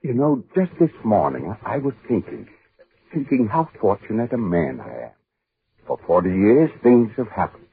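Humble old newspaper columnist, 1940s radio play: husky, swooping, halting, anxious, on a tinny vintage broadcast. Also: male voice, lowish voice, very animated, a little hiss or hum. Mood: absent-minded, wistful. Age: older. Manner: hesitant, stammering, earnest. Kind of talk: 1940s radio drama acting. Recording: muffled, band-limited 1940s radio recording.

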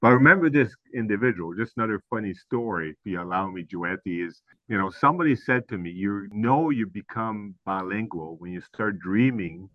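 A man's voice: fairly low pitch, expressive, a little fast, few pauses, no echo, phone-quality sound. A middle-aged man from Quebec with a Quebec French accent, a French native speaker who searches for English words and uses many fillers as a guest in a casual interview. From Quebec, Canada.

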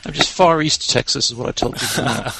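A man's voice: mid-range voice, a little fast, creaky, noisy background. Wry English man telling stories. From England. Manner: relaxed, wry storytelling with many fillers. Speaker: guest author. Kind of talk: casual interview chat.

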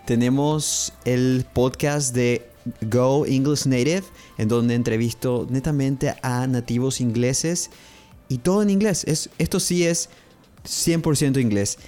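A man, hosting a podcast English lesson and repeating phrases slowly and carefully for learners. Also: medium pitch, dark tone, faint music behind. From Paraguay. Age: 30s. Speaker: English teacher, podcast host.

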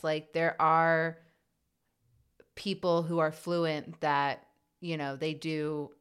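A woman who stutters, interviewing a guest in an earnest, reflective way, with repeated sounds and pauses. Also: deep voice, fairly bright tone, clear, resonant tone. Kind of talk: conversational interview.

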